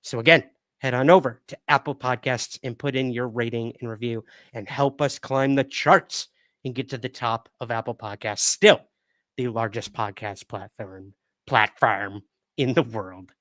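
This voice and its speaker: A man hosting a podcast livestream, chatting casually and upbeat with viewers, with a calming voice, recorded on a new studio microphone. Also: mid-range voice, normal speed, no echo. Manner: upbeat, thanks viewers and reads chat aloud.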